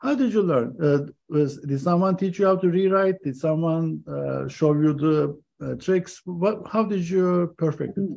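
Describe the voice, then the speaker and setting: A man's voice: a little high, measured pace, creaky, a little mellow. A man interviewing a guest, asking rambling questions that he piles on top of each other. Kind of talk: conversational interview. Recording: dull, muffled audio.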